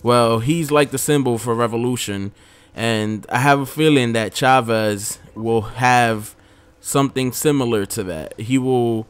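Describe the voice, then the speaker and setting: Young male vlogger, mid-pitched and smooth, loose rambling delivery with restarts. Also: measured pace. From Dominican Republic. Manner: rambling, frequent restarts and self-corrections. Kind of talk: casual opinion vlog.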